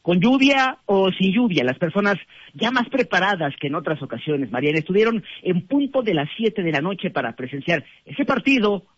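A man with a Mexican accent giving a measured radio field report. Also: high voice, big pitch swings, fairly bright tone, breathy edge, no echo, highs cut off. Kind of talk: news report narration.

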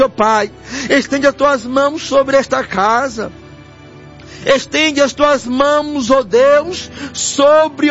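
Very high-pitched Brazilian man praying fervently. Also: fairly bright tone. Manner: pleading, repetitive invocations. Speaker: church elder leading prayer. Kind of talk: fervent evangelical prayer. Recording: dull lo-fi broadcast audio. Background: clear background music.

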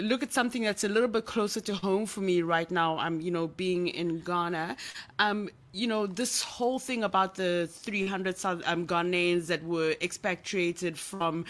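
A woman's voice: lowish voice, a bit bright. A woman hosting a casual discussion, chatty and playful, with many filler words. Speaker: host. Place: in Ghana.

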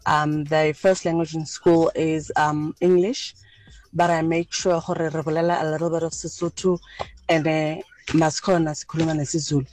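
A woman's voice: low voice, level tone, moderate pace, darkish tone. A woman sending a casual voice note to a radio show, switching easily between languages.

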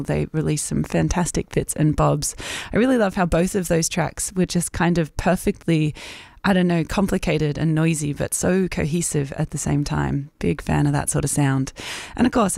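A woman presents a relaxed community radio show, chatting easily between songs with a little croak in her voice. Her tone has a gravelly edge, and the studio mic sounds close and dry. She talks warmly to listeners with casual fillers. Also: low-pitched, dry recording. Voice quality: slightly croaky. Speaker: radio host. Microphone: studio microphone.

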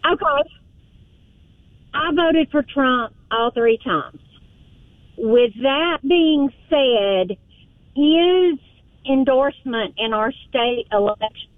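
High female caller on a bad phone line. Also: expressive, takes it slowly, long pauses, no echo. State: breaking up on a bad cell line. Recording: tinny cell phone line breaking up.

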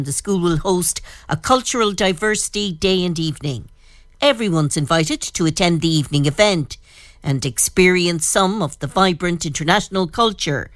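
A woman's voice: fairly low pitch, no room sound, clean studio sound. A woman working as a radio news reporter, presenting a report and asking interview questions.

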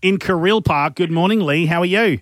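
A man's voice: high voice, normal speed, fairly bright tone, no echo, clean studio sound. A man hosting breakfast radio, jokey and chummy with callers. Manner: jokey, chummy, laughs a lot. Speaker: radio host. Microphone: studio mic.

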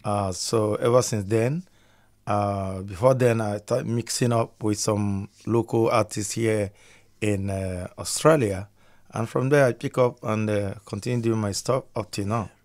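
A man from Nigeria, a musician guest on a radio interview, talking in an upbeat way and trailing off softly at the ends of his phrases. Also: lowish voice, fairly even intonation, a little slow.

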